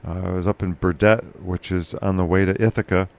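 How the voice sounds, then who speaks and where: A man hosting a casual local podcast chat, with a loud, carrying voice, talking haltingly with many fillers and restarts. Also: deep voice, fairly even intonation. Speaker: podcast host.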